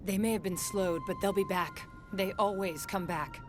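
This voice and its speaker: A woman's voice: mid-range voice, fairly even intonation, moderate pace, lightly acted. A woman playing a curt, hardened young colony guard in a game, blunt and terse. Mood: curt, wary. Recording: loud background music.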